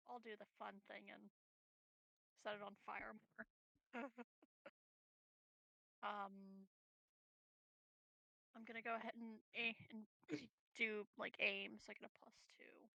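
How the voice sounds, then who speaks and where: A woman's voice: medium pitch, level tone, takes it slowly, creaky, pauses often, bright, forceful tone, dry recording, low-quality recording. A woman playing a tabletop game, chatting casually as she thinks her choices through aloud. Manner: deliberating aloud.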